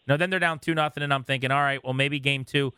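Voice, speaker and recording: A man hosting a sports radio interview, talking conversationally and recalling games in an animated way. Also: mid-range voice, quick pace, clear, resonant tone, dry recording, clean studio sound.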